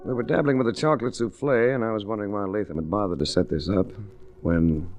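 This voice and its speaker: A man's voice: lowish voice, fast talker, darkish tone, highs cut off, soft background music, lightly acted. An actor playing a tough investigator who narrates his own case with dry, hard-boiled wit in 1940s radio style. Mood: wry. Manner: hard-boiled, dryly witty first-person storytelling. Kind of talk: 1940s radio detective acting. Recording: restored old radio with hiss.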